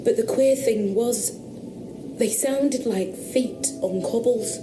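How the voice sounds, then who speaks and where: A woman's voice: a little high, roomy sound, over music. Shaken country girl. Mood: fearful. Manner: halting, hesitant, rustic speech. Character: frightened young country woman, insistent witness. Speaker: witness questioned about noises. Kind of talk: radio drama acting.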